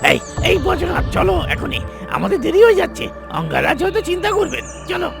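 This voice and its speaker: A man voicing a fawning, jittery talking rabbit minion in a fairy-tale cartoon, squeaky and mocking. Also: wildly swooping pitch, quick pace, creaky, full character voice. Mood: nervous, eager. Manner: mocking, then stammering and obsequious. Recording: loud background music.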